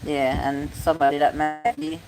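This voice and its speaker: A woman's voice: deep voice, flat delivery, moderate pace, over music. A woman, chatting casually on a livestream, reading comments aloud and repeating her points. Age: 50s. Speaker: YouTube live streamer.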